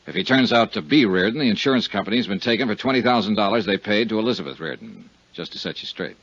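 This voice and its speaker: A man's voice: lowish voice, animated, quick pace, a bit bright. A man with an American accent acting as a dry, clipped, matter-of-fact insurance investigator who narrates a 1950s radio detective drama. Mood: dry, measured. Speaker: lead actor and narrator. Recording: muffled vintage radio recording with music.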